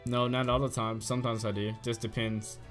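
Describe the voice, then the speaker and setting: A man's voice: medium pitch, little pitch movement, a little fast, fairly bright tone, soft background music. A young man streaming a video game, chatting casually and playfully teasing his teammate. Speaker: gaming streamer. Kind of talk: casual gaming chat.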